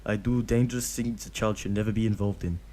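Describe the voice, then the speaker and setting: Man voicing a firm, weary adult ninja, slightly lifted, low-key. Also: steady tone, fast, noisy background, close to an everyday voice. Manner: firm, tired, lightly raised.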